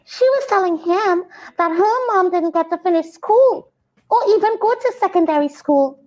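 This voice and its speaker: A woman's voice: very high voice, expressive, moderate pace, a little mellow, rough recording. A woman voicing Priya, an eager, caring Trinidadian schoolgirl in a puppet show, who talks earnestly and gasps at news. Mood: explaining. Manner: eager, gasping, earnest explaining.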